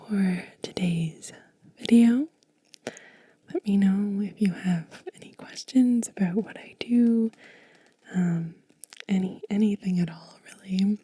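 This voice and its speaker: Softly whispering, breathy woman, very muted tone. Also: medium pitch, no echo. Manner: gentle, unhurried, soothing. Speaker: ASMR video host. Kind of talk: soft-spoken whispered ASMR, show and tell.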